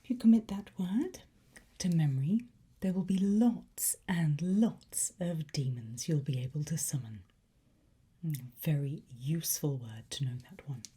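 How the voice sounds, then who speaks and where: A woman doing an ASMR teacher roleplay in a breathy whisper, giving slow, deliberate, coaxing instruction with pauses. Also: deep voice, dark tone. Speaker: ASMR performer playing a language teacher.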